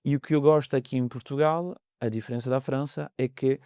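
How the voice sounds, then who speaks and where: A man's voice: medium pitch, steady tone, brisk, mellow, clean tone, no echo, studio quality. A man in his thirties from France with a French accent, a guest on a podcast interview, talking in a hesitant way with many fillers. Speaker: mortgage broker guest.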